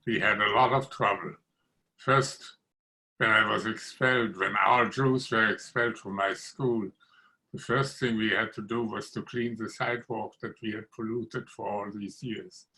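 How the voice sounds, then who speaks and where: A man in his nineties recounts his memories slowly and deliberately over a video call. His voice has a bright, forward edge, and he lays out each sentence at an unhurried pace in a measured way. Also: fairly low pitch, steady tone, low-quality recording. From Austria. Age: 90s. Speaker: interviewee. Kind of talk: oral history interview.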